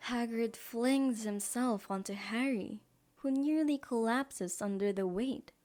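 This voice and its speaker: A woman's voice: on the high side, darkish tone. A woman narrating a scripted audio drama as its storyteller.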